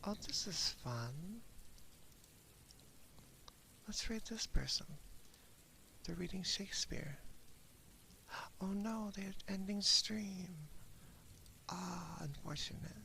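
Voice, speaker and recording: A woman whispers softly and breathily, right up on a binaural ASMR mic. Her low murmur is heavily creaky, crackling with fry. Clear background noise sits behind the voice. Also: slow pace, long pauses. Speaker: ASMR streamer. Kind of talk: whispered ASMR reading and casual chat. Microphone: close binaural ASMR microphone.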